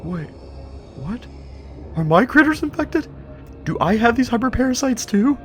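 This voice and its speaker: Man acting as Happy, animated naive young investigator. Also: extremely high pitch, moderate pace, faint music behind, full character voice. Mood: worried, curious. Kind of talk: scripted web horror drama.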